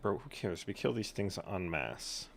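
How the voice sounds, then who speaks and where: A man's voice: low-pitched, very animated, unhurried, gravelly, slight background noise. A man live streaming a video game, giving casual, blunt, irreverent commentary in muttered asides over the game. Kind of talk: casual mocking gameplay commentary. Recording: game music playing behind the voice.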